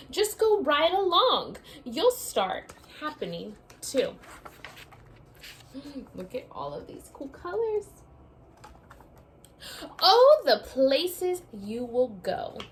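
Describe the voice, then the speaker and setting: A woman teacher reading a picture book aloud to young children in an expressive, sing-song storybook manner, speaking to them directly. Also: high-pitched, slow pace.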